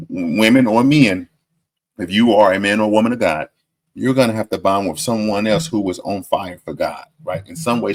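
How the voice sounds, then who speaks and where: A man commenting on a dating show clip, giving emphatic, sermon-like advice and repeating his warnings. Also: medium pitch. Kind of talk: preachy reaction commentary.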